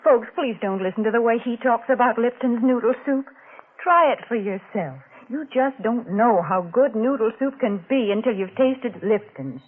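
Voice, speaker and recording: A woman giving a folksy, chatty, homey sponsor pitch in 1940s radio advertising style. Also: normal speed. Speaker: sponsor spokeswoman and co-host. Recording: tinny, band-limited old radio recording.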